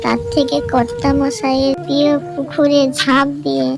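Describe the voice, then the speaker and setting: A woman's voice: sits high, level tone, normal speed, vocal fry. A woman playing a landlord's reproachful, sorrowful wife in a cartoon story, with a melodramatic manner. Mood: sorrowful, resigned. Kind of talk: Bengali cartoon story dialogue. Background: background music.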